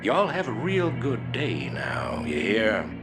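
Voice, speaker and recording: A drawling, arrogant officer. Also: male voice, lowish voice, wildly swooping pitch, slow, heavily acted. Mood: scornful. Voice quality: drawling, condescending. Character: arrogant male colonial officer. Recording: voice over loud game music.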